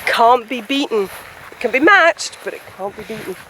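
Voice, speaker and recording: A woman's voice: a little high, animated, pushed and bright, slight background noise, rough recording. A chatty woman from Scotland with a mixed British accent, not a Scottish one, who laughs easily in casual vlog talk. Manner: chatty and giggly, quick to laugh. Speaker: vlogger. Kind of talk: casual chat.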